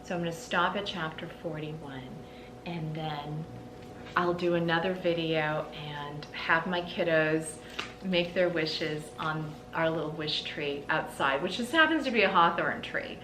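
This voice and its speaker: A middle-aged woman reading a storybook aloud expressively, with deliberate phrasing and dramatic emphasis. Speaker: read-aloud host. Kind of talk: storybook read-aloud narration. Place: indoors in a small room lined with bookshelves. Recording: roomy echo, clear background rumble.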